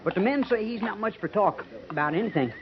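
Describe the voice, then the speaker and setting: Young American cavalryman, loyal and folksy, swooping pitch, dull vintage radio sound. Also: lively intonation, quick pace. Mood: admiring. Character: earnest young cavalry trooper, trusting and loyal. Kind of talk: 1950s American radio western acting. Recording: dull, noisy 1950s radio transcription.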